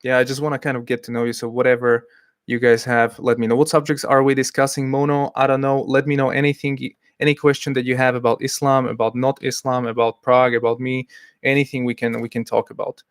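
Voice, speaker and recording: A man hosting a casual livestream, chatty and a little hesitant as he reads out messages from the chat. His voice sits at an ordinary middle pitch. The sound is dry and close, with no room echo. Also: flat delivery, fast, clean tone. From Slovakia. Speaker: livestream host.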